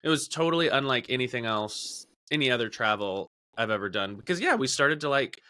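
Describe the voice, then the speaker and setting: Bright-voiced man chatting on a podcast. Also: mid-range voice, lively intonation, brisk, dry recording, clean studio sound. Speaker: podcast host. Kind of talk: casual podcast chat.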